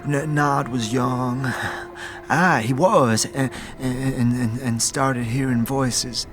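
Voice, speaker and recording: A man acts out a ragged, broken vagrant in an audio drama. He stammers and halts slowly over his words, muddled and frightened, naming himself in the third person. Faint music sits behind him. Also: medium pitch, steady tone, natural voice. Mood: distressed, confused. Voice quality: stammering, halting.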